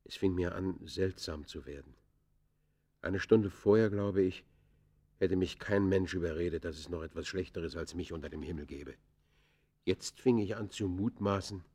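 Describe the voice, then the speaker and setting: An actor playing a brooding, defiant murderer who confesses in deliberate, literary German radio play style, moving between hushed and vehement delivery. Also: male voice, low voice, slightly rough. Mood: haunted, brooding.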